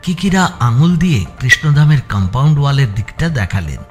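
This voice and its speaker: A man's voice: medium pitch, sing-song, fairly quick, soft background music. A man narrating a suspense audio story in a dramatic storytelling style.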